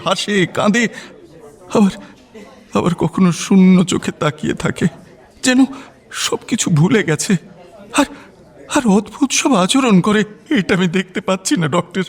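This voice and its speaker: A grieving middle-aged husband in a radio drama. His voice is pitched high and rough with huskiness. He pleads in broken, repeated phrases, sounding desperate for help. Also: full character voice. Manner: pleading, halting, repeating words.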